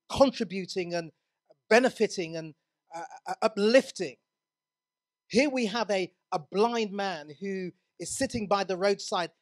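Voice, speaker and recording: A man from England preaching a sermon in a church, earnest, often restarting his words. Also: high-pitched.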